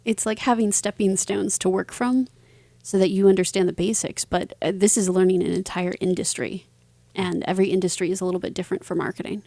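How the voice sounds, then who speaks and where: A woman's voice: mid-range voice, fairly even intonation, a little fast, creaky, mellow, no echo. A woman speaking as an interview guest, answering in a casual, chatty, conversational way. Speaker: interview guest, author and publisher.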